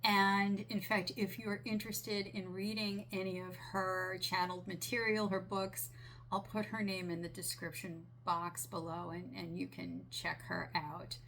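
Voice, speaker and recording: Slow, gravelly woman channeler, raspy. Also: mid-range voice, little pitch movement, a little hiss or hum. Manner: slow, gentle, earnest with small restarts. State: raspy from a sinus infection. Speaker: spiritual channeler. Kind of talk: relaxed monologue relaying a channeled message.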